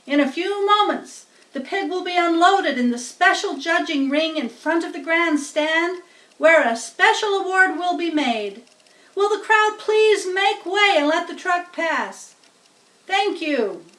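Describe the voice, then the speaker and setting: A woman plays a grand, pompous fair announcer from a storybook, pitched very high and proclaiming each phrase formally. The delivery is loud and unhurried, in a roomy, distant recording. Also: expressive, heavily acted. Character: pompous fairground loudspeaker announcer, grand and booming. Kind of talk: storybook character voice.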